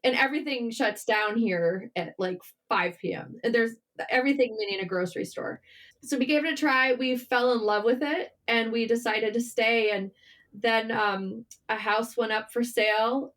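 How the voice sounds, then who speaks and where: High-voiced American woman telling stories. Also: normal speed, fairly bright tone. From Michigan, United States. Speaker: podcast guest, writer. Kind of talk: conversational interview storytelling.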